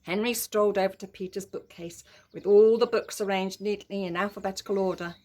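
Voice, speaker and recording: A woman's voice: mid-range voice, steady tone, fairly quick, a little mellow. A woman narrating a children's story in a dramatic, emphatic way. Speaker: story reader.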